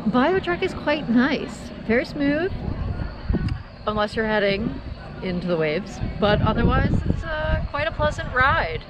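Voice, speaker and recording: A woman's voice: sits high, big pitch swings, measured pace. Easygoing woman guest, noisy deck. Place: outdoors on a boat deck at dusk.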